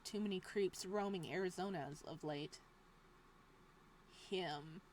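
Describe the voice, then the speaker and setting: A woman reading a visual novel aloud as a let's play host, moving between dramatic narration and casual, expressive reactions. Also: lowish voice, a little hiss or hum. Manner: expressive, exclamatory asides with laughter between measured reading.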